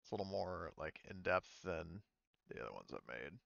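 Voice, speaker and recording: A man as game master, narrating and chatting casually in a halting, loosely profane way. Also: deep voice, sing-song, creaky, a bit bright, no echo, dull audio.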